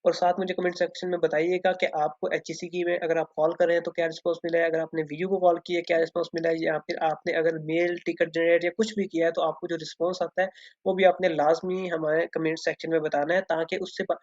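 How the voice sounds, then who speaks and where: Pakistani guy talking quick, instructive, drops english bits in, dry dull mic sound with no room. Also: on the high side, little pitch movement, dry recording. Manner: mixes English words and phrases into speech. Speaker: student-community video host. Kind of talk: informal explainer addressed to students. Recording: dull, lo-fi close dry voice recording.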